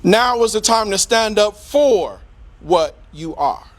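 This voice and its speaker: A middle-aged man giving an impassioned, preacher-like public speech in a deliberate, rhetorical manner with emphatic repetition. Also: sits high, animated, background noise. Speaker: community speaker.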